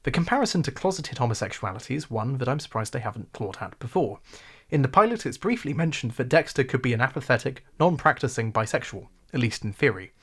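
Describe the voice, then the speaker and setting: Male, RP English, wry sarcastic video essayist, medium pitch. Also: fast, husky. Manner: wry, sarcastic, animated delivery. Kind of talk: scripted video essay narration.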